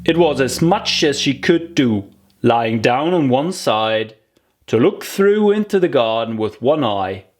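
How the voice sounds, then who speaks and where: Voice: medium pitch, animated, unhurried, faint music behind. An audiobook narrator reading a scripted children's story.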